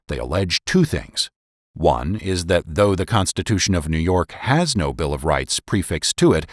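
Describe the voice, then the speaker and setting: An American man with a trained voice-actor delivery, reading scripted historical narration in a measured, deliberate, formal way. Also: fairly low pitch, no echo, studio quality. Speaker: podcast host and narrator.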